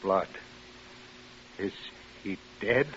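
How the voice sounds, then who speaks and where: An actor playing a fretful, excitable house owner, anxious and full of questions, in 1940s radio drama style. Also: male voice, low voice, drawn-out words, full character voice. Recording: muffled, noisy vintage radio recording.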